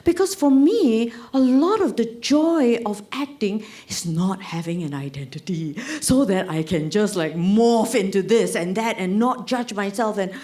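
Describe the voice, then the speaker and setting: A woman's voice: medium pitch, a little slow, few pauses, warm tone. A woman, an actor speaking on a panel, chatty and laughing, with many fillers. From Singapore. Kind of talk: panel discussion.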